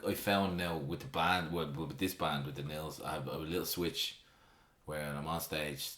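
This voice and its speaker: A man's voice: extremely low pitch, steady tone, normal speed, a bit bright, reverberant. A man hosting a podcast, talking in a blunt, sweary, casual way. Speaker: podcast host. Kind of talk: casual chat.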